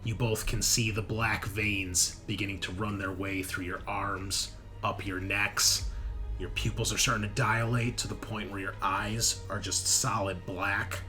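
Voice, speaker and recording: A man with a fairly low, forceful voice narrates a tabletop horror game as its game master. His delivery is dramatic and suspenseful, with faint music playing behind him. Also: level tone, unhurried. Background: background music.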